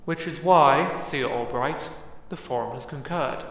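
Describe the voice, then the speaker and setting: A woman's voice: sits low, unhurried, fairly bright tone, heavy reverb, big-room sound. Solemn, imperious council leader, acted by a woman. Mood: solemn, official. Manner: formal, ceremonious, declamatory. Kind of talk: fantasy audio drama acting.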